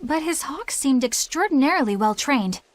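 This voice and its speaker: A woman's voice: high voice, lively intonation, moderate pace, no echo. A woman voicing a polite, earnest young female exorcist with formal, courteous speech in a video game, over music. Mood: thoughtful.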